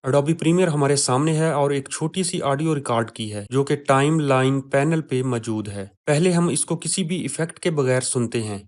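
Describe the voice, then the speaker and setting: Man narrating a software tutorial. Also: mid-range voice, few pauses. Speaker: tutorial presenter. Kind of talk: instructional screen-recording narration. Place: voice-over for a software screen recording.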